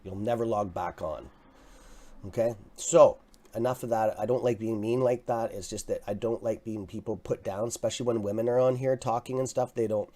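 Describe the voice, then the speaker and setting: A man with a raspy, mellow, fairly low voice, chatting loosely through a live trading stream. He gets excited, swears now and then, and keeps addressing his viewers as "guys.". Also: normal speed. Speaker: livestream stock trading host. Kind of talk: casual live market commentary.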